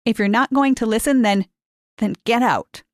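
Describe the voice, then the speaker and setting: A woman's voice: fairly high pitch, normal speed, no echo, clean studio sound, pitched above the performer's own voice, close to an everyday voice. A woman voicing a stern, curt elderly retired teacher who talks in clipped, blunt sentences.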